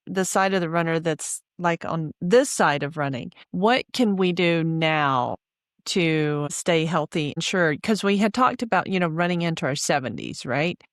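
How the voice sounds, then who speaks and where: A podcast host in her forties with a Southern US accent and a lowish voice, recorded dry and close. She affirms her guest often and asks her questions in an easy, conversational way. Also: dry recording. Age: 40s. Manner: affirming, backchannels often, laughs easily. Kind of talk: podcast interview.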